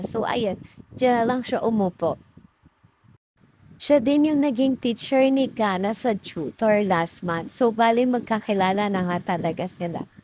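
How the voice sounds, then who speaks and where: A woman's voice: a little high, darkish tone, highs cut off, slight background noise. A young Filipino woman with a chatty, informal manner, narrating a casual vlog as a voice-over. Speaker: mom vlogger.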